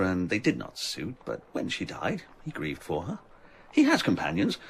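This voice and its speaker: An actor playing a wry, gossipy bookseller in a radio drama, husky and theatrically expansive. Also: male voice, deep voice, full character voice. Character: wry, gossipy London bookseller, hospitable and teasing.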